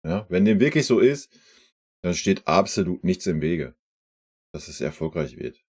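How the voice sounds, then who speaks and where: A man's voice: low-pitched, expressive, normal speed, a little mellow, breathy edge. A man hosting a fan channel, reacting to a press conference in loose, chatty commentary with lots of "ja" and "ne". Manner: chatty, uses fillers like "ja" and "ne".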